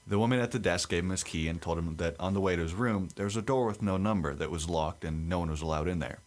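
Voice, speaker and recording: A young man with a deep radio-like voice, co-hosting a casual podcast and reading a creepy story aloud. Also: fast talker. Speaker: podcast co-host.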